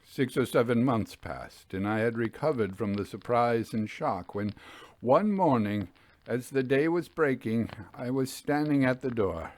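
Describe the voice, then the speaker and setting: A man voicing a haunted railway signalman who recounts a spectre in a grave, halting way, as in a ghost story. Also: mid-range voice, lively intonation, darkish tone, no echo, lightly acted. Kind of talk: dramatic reading of a classic ghost story.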